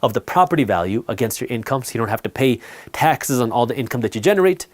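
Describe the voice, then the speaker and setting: A man's voice: mid-range voice, animated, quick pace. A man whose first language is Punjabi, explaining money matters to camera in a blunt, direct, emphatic way. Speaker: finance educator and host.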